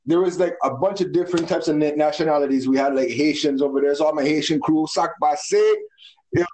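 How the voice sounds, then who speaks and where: A young man from the Bahamas, a DJ guest on a casual podcast, talking in a hyped, slangy way and giving shout-outs. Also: fairly high pitch, fairly even intonation, quick pace, slight background noise, rough recording. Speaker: DJ and carnival spokesperson guest.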